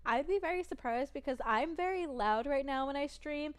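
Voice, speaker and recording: A young woman streaming and chatting casually, rambling and giggling, with a naturally soft voice that is tired from long streaming. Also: high-pitched, lively intonation, normal speed. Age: young adult.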